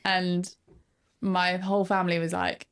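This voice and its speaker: Woman, late twenties, casual chatty guest, slightly low voice. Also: little pitch movement, a little slow, clear, resonant tone. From northern England. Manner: chatty, laughs often, lots of fillers. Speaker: photographer guest. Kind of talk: casual podcast interview.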